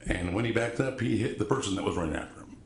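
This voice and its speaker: A man with a deep voice testifying as a witness in court, halting and polite, often restarting sentences. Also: animated, creaky, echoey room, a little hiss or hum. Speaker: trial witness.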